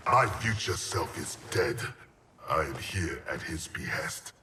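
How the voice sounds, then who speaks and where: An actor playing a grave, honour-bound undead ninja warrior in a video game, speaking in a solemn, formal and measured way. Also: male voice, rumbling low, animated, noisy background, exaggerated voice. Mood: earnest. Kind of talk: video game cutscene acting. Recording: loud game music behind. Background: loud cinematic music.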